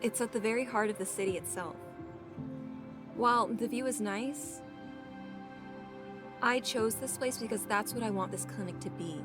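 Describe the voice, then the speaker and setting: A young woman played by an actress with a fairly high voice, full of idealism. She speaks tenderly and earnestly, with playful teasing, in an audio drama set over prominent music. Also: normal speed, long pauses, lightly acted. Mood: hopeful, earnest. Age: young adult.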